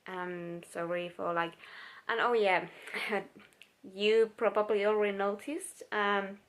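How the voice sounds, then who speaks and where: A woman's voice: mid-range voice, little pitch movement, slow pace. A young woman with a Finnish accent, speaking English as a second language in a casual personal vlog, searching for words and restarting sentences. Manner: laughs now and then while talking. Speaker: vlogger.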